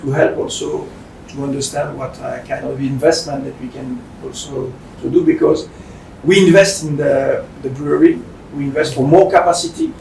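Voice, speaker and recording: A man from Belgium with a French-speaking Belgian accent, giving a semi-formal speech as a company director in halting, non-fluent English. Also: steady tone, creaky, a little mellow. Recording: roomy, distant mic with faint rumbling noise.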